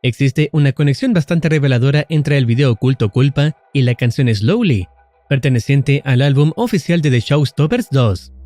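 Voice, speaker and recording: A man narrating a scripted analysis of a horror series in a measured, explanatory way. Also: mid-range voice, dark tone. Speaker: lore channel narrator.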